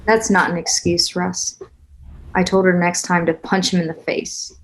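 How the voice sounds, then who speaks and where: A woman's voice: lowish voice, level tone, normal speed, low-quality recording. A woman acting a tough, weary, guarded single mother in a naturalistic screenplay read, blunt and sardonic. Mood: weary, worried. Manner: blunt, sardonic, plain-spoken.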